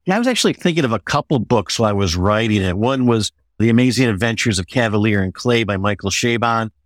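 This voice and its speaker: A middle-aged or older American man answering interview questions as an author, in a measured, explanatory way. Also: fairly low pitch, animated, dry recording, studio quality.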